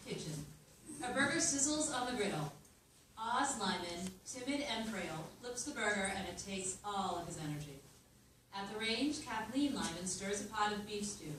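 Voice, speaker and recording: Woman, mid-pitched script narrator, live table read caught from a distance. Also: animated, normal speed, a bit bright. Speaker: stage-direction reader at screenplay reading. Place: live staged reading in a room. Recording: echoey room, distant mic, background noise.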